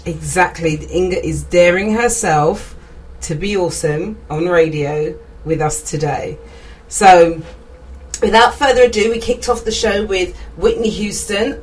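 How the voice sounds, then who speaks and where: A woman's voice: on the low side, a little slow, distant mic, poor audio. A woman hosting a radio interview show, upbeat and laughing often.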